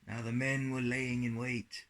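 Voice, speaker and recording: Slow-paced man reading a Bible story. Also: mid-range voice, little pitch movement, a bit bright. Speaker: storyteller reading scripture for a children's puppet show.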